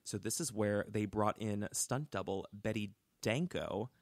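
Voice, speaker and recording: A young man co-hosting a podcast, telling a story in a chatty, animated way with lots of "like" and restarts, on a close studio mic. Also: lowish voice, unhurried, no room sound. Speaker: podcast co-host. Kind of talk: casual storytelling chat.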